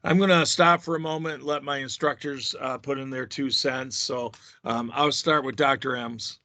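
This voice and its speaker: A man's voice: mid-range voice, steady tone, moderate pace, sharp tone, no room sound. A man speaking as a university instructor on a conference call, explaining things to students informally in an encouraging, reassuring way. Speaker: university instructor leading a course orientation call.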